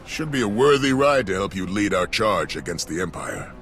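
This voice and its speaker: An actor playing a proud, formal military ally in a video game. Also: male voice, lowish voice, animated, moderate pace, fairly bright tone, soft background music. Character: proud, formal male military ally presenting war machines. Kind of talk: cinematic game dialogue.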